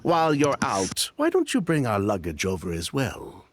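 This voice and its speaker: An actor voicing a lively, chatty gentleman in a game, with a polite, theatrical manner. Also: medium pitch, moderate pace, slight background noise. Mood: jaunty, courteous.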